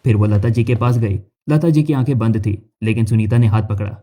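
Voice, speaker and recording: A man's voice: lowish voice, fairly quick, dark tone. A man narrating a scripted cartoon story as its narrator.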